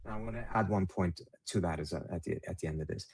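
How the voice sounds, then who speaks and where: Low-voiced man giving political commentary. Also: brisk, vocal fry, a little mellow. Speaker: interview guest analyst.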